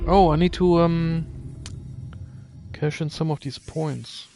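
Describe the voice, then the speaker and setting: Slow-talking man with a gravelly voice. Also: fairly high pitch, steady tone, darkish tone, slight background noise. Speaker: video game streamer. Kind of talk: casual live gameplay commentary.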